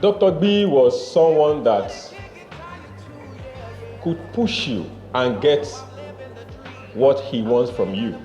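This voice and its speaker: A man giving a reflective spoken tribute to a late mentor, in halting phrases. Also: medium pitch, animated, dark tone. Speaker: drama group leader. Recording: echoey room, dull sound, music over it.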